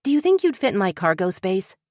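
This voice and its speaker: A woman's voice: mid-range voice, very animated, a little fast, a little mellow, no room sound, studio quality, pitched above the performer's own voice. A woman voicing a blunt, suspicious woman writer who questions sharply and demands answers. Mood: demanding, tense.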